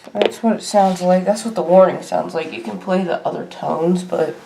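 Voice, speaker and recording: A woman giving a casual, unscripted show-and-tell at home in a halting way, as a hobbyist vlogger. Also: on the low side, gravelly. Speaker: weather radio hobbyist vlogger. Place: indoor room at home. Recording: noisy homemade video with clicks.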